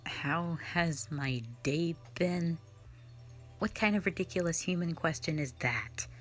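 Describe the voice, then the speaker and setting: Actress as a nonhuman female slayer, sardonic and dismissive; low, unhurried delivery for an audio drama. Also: soft background music. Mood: scornful. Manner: dismissive, mocking, blunt.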